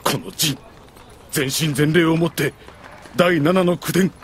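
Formal, devoted anime retainer. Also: male voice, fairly high pitch, takes it slowly, a little hiss or hum. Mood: solemn devotion. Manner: deferential, formal. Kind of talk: Japanese anime audio drama acting.

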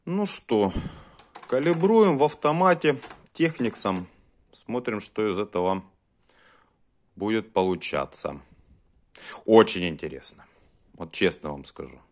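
A man giving casual hands-on commentary as a video host, talking haltingly in short muttered phrases. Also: lowish voice, animated. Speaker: host of a cassette deck test vlog.

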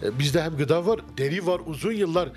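A middle-aged man with a slightly high voice talks through a handheld mic in a wood-paneled restaurant. He answers questions haltingly, full of fillers and restarts. Soft music plays faintly underneath. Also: expressive. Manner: hesitant, many fillers and restarts. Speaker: restaurant owner. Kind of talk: casual interview. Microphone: handheld mic held by interviewer.

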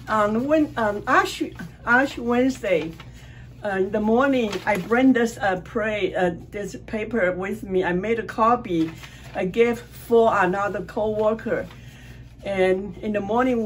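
A middle-aged woman telling a short personal story in plain, halting phrasing, recorded in a small room at home. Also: mid-range voice, slight background noise, rough recording. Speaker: church member. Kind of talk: personal testimony.

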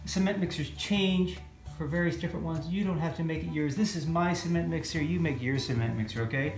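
A middle-aged man with an American accent gives a casual, playful, folksy step-by-step drawing lesson for children. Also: on the high side. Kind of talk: casual step-by-step art demonstration. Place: indoor room, at a drawing board. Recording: roomy echo with music underneath.

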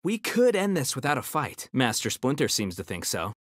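A man's voice: mid-range voice, huge pitch swings, moderate pace, no echo, clean studio sound. A man voicing a brainy, cocky young ninja turtle in a video game, with an American accent and nerdy, quippy confidence. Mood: confident, nerdy. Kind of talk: video game character battle banter.

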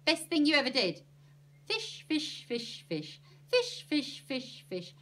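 A woman voicing a gruff, blustering, grumbling uncle in a storybook reading. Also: sits high, very animated, slow, a bit bright, recorded from a distance, pitched above the performer's own voice, heavily acted. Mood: boisterous hawking. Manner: grunting, blustering, exclamatory. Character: gruff, obsessive working man, a grumbling uncle.

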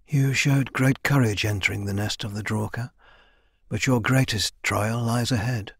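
A man's voice: mid-range voice, steady tone, vocal fry, husky, no echo, studio quality. Man voicing a weary, solemn old female elder. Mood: grave. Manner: solemn, measured, quiet. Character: weary, dying female elder, grave dragon mentor.

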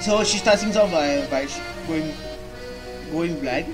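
A man in his twenties giving casual, halting reaction commentary, often repeating his phrases, recorded in a small bedroom. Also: a little high. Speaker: reaction video host. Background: game trailer music.

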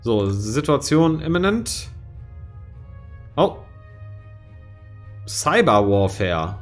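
A man's voice: medium pitch, sing-song, extremely slow, soft background music. A man in his forties from East Westphalia, co-hosting a livestreamed politics game and thinking aloud with many fillers. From East Westphalia, Germany. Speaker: streamer and co-host. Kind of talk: casual let's-play chat. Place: home room.

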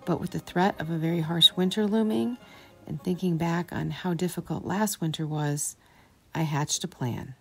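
A middle-aged woman giving a gentle, reflective scripted voice-over in a storytelling style. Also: fairly low pitch, normal speed, creaky, slightly rough, faint music behind. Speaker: vlog narrator.

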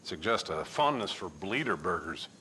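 Jaded male coroner, dry sardonic wit, forceful bright voice, video game cutscene, faint background hum. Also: low-pitched, very animated, normal speed, exaggerated voice.

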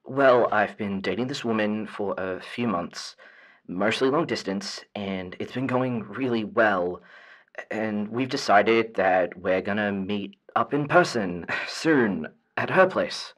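A man's voice: fairly low pitch, slow pace, a bit bright. A man playing an earnest, hesitant caller to an advice show in a naturalistic audio drama, mild in manner. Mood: hesitant, hopeful.

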